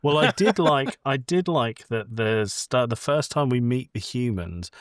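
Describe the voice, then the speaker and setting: A man's voice: mid-range voice, expressive, unhurried, no echo, studio quality. A man co-hosting a podcast in casual chat, playful and opinionated as he rambles along. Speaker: podcast co-host.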